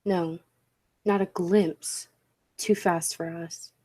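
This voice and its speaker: A woman's voice: on the low side, fairly even intonation, slow pace, dark tone. A woman playing a skeptical, intense professor of science, speaking earnestly and emphatically in a 1940s-style radio play. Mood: uneasy. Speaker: amateur drama club actor.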